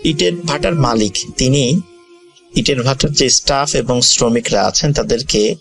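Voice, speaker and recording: A man narrating a ghost story to listeners as a storyteller, in a measured, formal and polite manner. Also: mid-range voice, fairly bright tone. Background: music.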